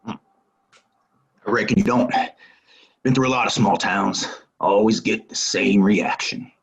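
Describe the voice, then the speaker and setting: An actor playing a menacing, distrustful gunslinger stranger in a Western audio play, talking in a terse, probing way. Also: male voice, lowish voice, measured pace, gravelly, low-quality recording.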